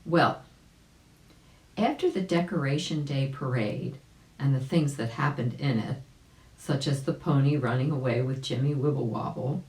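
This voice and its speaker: A woman reading a children's story aloud as a volunteer, unhurried and animated, with chatty asides. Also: very deep voice. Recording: roomy, echoing sound.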